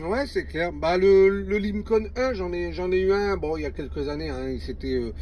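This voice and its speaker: A man chatting casually over CB radio from inside a parked vehicle, often repeating his phrases in a halting way. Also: high voice, a little mellow, slight background noise. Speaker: CB radio hobbyist filming a contact.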